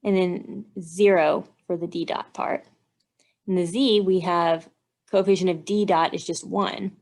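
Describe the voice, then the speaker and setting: A woman's voice: on the low side, fairly even intonation, measured pace, darkish tone. A woman engineering instructor giving a methodical, step-by-step walkthrough, thinking aloud as she works through math.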